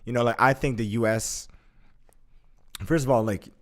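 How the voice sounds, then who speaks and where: A young man telling a story in a casual chat, animated, full of "like" fillers and swearing. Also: mid-range voice, normal speed. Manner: animated, heavy use of filler "like", swears freely. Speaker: guest.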